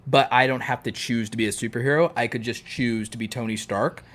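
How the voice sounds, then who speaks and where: A man's voice: mid-range voice, steady tone, a little fast, fairly bright tone. Man in emphatic, sweary casual chat. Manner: emphatic repetition, sweary, playful riffing. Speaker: podcast co-host. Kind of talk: casual chat about fictional universes.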